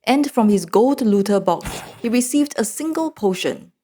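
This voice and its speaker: A woman narrating a story recap in an expressive, animated storytelling manner. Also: on the high side, normal speed.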